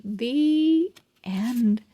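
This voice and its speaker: Lady doing a kids read-along, really slow n high, expressive word by word, super dry sound. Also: no room sound. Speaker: children's reading channel host. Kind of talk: slow read-along storytelling for young children.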